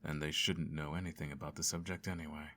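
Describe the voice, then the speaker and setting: A man playing a cold, controlling emperor and adoptive father in an ASMR bedtime roleplay, speaking formally with measured, condescending menace. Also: little pitch movement, bright, forceful tone, slight background noise. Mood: cold, menacing. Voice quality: very deep, creaky.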